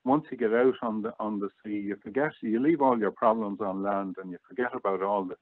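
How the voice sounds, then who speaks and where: A man's voice: fairly low pitch, brisk, no room sound. A middle-aged Irish man chatting casually as an interview guest, reminiscing over a call. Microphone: online call or phone line. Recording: muffled phone-like, dry online call.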